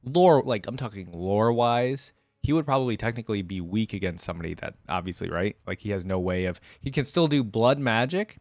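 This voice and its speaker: A man's voice: on the low side, animated, brisk, few pauses, a little mellow, dry recording. A man hosting a reaction video with casual, informal commentary, musing aloud into a close microphone.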